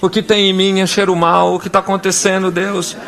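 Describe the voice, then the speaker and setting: High, bright-voiced Brazilian pastor. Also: male voice, a little fast, creaky. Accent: Brazilian Portuguese. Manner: animated, acts out little scenes and prayers with voices. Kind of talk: sermon.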